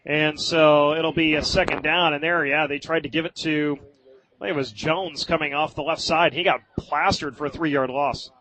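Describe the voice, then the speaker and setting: A man doing live radio play-by-play of high school football in short, clipped phrases. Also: fairly even intonation, moderate pace, bright, forceful tone, poor audio. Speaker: play-by-play sports announcer.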